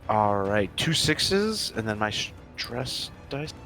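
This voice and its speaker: A man's voice: fairly low pitch, very animated, takes it slowly, sharp tone, faint music behind. A man talking casually as a player in a tabletop roleplaying game.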